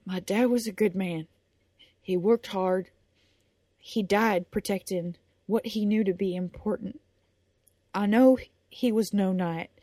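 A woman voicing an eighteen-year-old blacksmith's son, speaking quietly and earnestly. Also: mid-range voice, slow, long pauses, dry recording. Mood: grieving.

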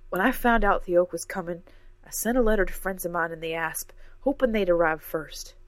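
A woman reading aloud, voicing a small, fretful older woman scholar who talks briskly. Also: lowish voice, dry recording, lightly acted. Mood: remorseful.

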